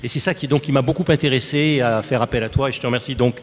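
An older French man moderates a panel in a formal, roundabout way, full of fillers and restarts. His pitch is ordinary. The sound hangs with a long reverb from a large hall. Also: fast. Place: conference stage.

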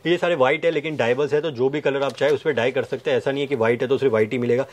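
A man in his thirties giving a sales pitch to camera, listing things with repetitive emphasis. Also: steady tone, racing. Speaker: fabric manufacturer's representative presenting stock. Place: fabric showroom indoors. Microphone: clip-on wireless lapel mic.

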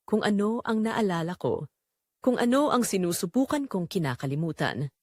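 A woman's voice: fairly low pitch, no echo, clean studio sound. A woman playing a remorseful, wounded young woman, narrating her confession earnestly and emotively in an audio drama with a Filipino accent. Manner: earnest, reflective, emotive delivery. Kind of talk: scripted first-person dramatic narration in Tagalog audio drama.

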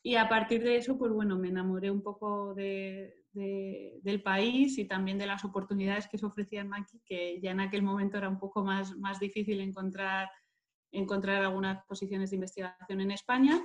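Female interviewee, thirties, Spain Spanish accent, medium pitch, conversational delivery over a video call. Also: little pitch movement, moderate pace. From Madrid, Spain. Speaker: interviewee, market manager and scientist. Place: indoors, plain-walled room, video call. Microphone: earphone headset on video call.

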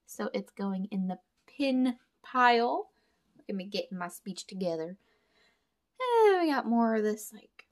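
Young woman chatting to her viewers while she sorts stuff, lots of vocal fry, talks things through out loud. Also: medium pitch. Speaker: storage unit reseller and vlogger. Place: indoors at a table. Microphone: camera over a table, hands only in frame.

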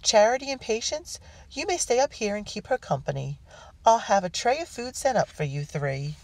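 A woman's voice: mid-range voice, very animated, moderate pace, no room sound, pitched above the performer's own voice, low-quality recording. A woman voicing a fussy, doting loyalist mother in the colonies, cooing and genteel in an audiobook.

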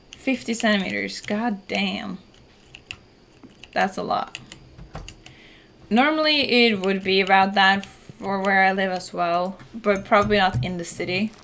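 A woman's voice: mid-range voice, creaky, a little hiss or hum. Chatty Norwegian woman streaming a game. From Norway. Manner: relaxed, chatty, many "like" fillers, swears casually. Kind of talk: casual chat while gaming. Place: home room, indoors. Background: soft game music.

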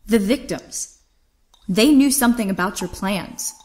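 An actress playing a defiant, idealistic young niece in an audio drama, earnest and indignant. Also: female voice, mid-range voice, expressive, unhurried, darkish tone, natural voice. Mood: accusing.

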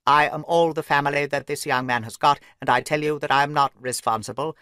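A man voicing a miserly, irritable little old lord with a sharp, crackling voice and a blustering manner. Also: fairly even intonation, brisk, clear, resonant tone, dry recording, clean studio sound. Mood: shrill angry. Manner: blustering, shrill when angry.

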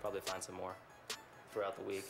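Casual young male contestant, low-ish voice, music bed. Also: monotone, fast, echoey room.

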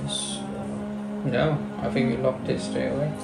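A man's voice: medium pitch, little pitch movement, a little slow, creaky. A man in his thirties chatting casually with his wife on a sofa at home, giving short, dry replies. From India. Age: 30s. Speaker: vlogger co-host. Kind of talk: casual chat. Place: living room sofa, indoors. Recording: roomy with background music.

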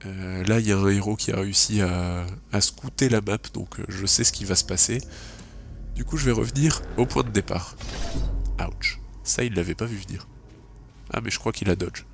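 A man narrates his game run in a relaxed, thinking-aloud way, full of fillers and stray English gaming terms. His voice is low and gravelly, a little stuffed up from a cold. Game music plays clearly underneath. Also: normal speed. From French-speaking. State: has a cold. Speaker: let's play gamer. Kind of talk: casual gameplay commentary.

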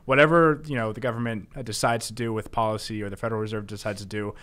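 Measured young male financial co-host. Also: on the low side, steady tone, a bit bright. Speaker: financial advisor, show co-host. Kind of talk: radio talk show commentary. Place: small broadcast studio. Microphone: studio boom mic with headphones.

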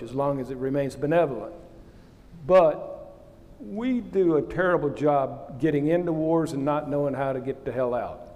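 A man's voice: fairly high pitch, a little mellow. Blunt older man on an auditorium stage. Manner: blunt, opinionated, plain-spoken. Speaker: panel guest, retired naval officer. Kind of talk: candid panel answer. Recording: long hall reverb.

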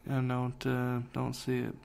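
A man's voice: medium pitch, completely flat delivery, measured pace, gravelly, darkish tone, clear, resonant tone. A man co-hosting a casual podcast, talking in a dry, self-deprecating way and mumbling while he searches something up. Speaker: podcast co-host. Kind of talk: casual chat.

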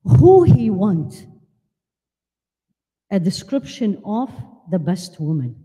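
An older woman born in Iraq, giving an animated religious lecture at a women's conference, holding a handheld mic and asking the audience questions. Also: medium pitch, slow pace, husky. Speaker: Islamic lecturer. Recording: long hall reverb.

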